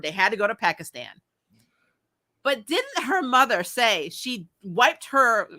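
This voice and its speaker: Bright, high-pitched woman chatting emphatically. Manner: emphatic, joking, laughs readily. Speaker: panelist reviewing a TV series. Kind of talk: animated casual group chat.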